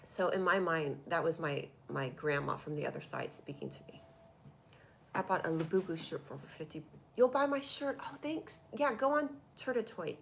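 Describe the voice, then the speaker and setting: A woman's voice: sits low, animated, moderate pace, vocal fry, fairly bright tone, slight background noise. A woman with a cold and a stuffy voice, hosting a comedy live stream and chatting through viewer comments on a tablet. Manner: chatty and rambling, reacting to comments. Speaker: comedy show host doing a live stream.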